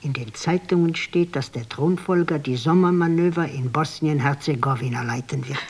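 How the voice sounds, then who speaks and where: A woman playing a secretive, coolly probing instigator of revenge in a German radio drama, speaking in a hushed, deliberate way. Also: low voice, slightly rough. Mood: conspiratorial.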